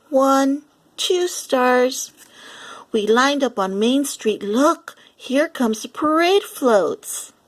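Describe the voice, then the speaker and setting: A woman reading a picture book aloud for young children, animated and deliberate, acting out the lines as she goes. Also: high-pitched. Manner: animated, deliberate, acts out lines and sound effects. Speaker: children's story reader.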